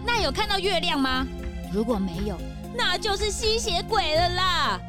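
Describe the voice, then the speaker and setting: A woman playing a cheeky, excitable young detective's assistant in a children's audio mystery drama. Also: very high voice, normal speed. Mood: cheeky, skeptical. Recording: music behind the voice.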